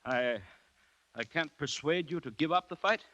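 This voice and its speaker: A man acting an anxious commissioner who narrates a 1940s radio drama in a measured, dramatic way full of dread. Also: on the high side, bright, forceful tone, no echo. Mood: resigned loyalty. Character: anxious male government commissioner recounting a dreadful siege. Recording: restored 1940s radio broadcast.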